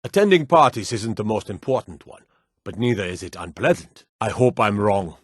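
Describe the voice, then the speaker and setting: A man playing a dignified, courtly lord with a formal, measured way of talking in a fantasy video game. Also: fairly low pitch, lively intonation, breathy edge, no room sound, studio quality.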